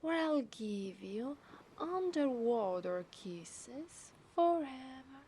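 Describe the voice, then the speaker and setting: A woman's voice: high voice, big pitch swings, dark tone, a little hiss or hum, full character voice. A woman with an Italian accent playing an obsessive, seductive mermaid in a teasing ASMR roleplay, sometimes slipping into whispers. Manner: slow, teasing, lapsing into whispers.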